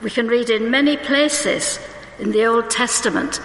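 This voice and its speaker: A woman preaching a sermon in a church, conversational and reading scripture slowly, suffering from hay fever. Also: fairly high pitch, fairly bright tone, a little hiss or hum. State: hay fever, may cough. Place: church hall. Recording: roomy church with long reverb.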